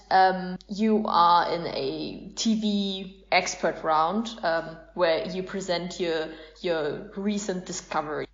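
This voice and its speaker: A woman hosting a podcast in casual chat, leading an improv game. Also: medium pitch. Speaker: podcast host. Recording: muffled, echoey room.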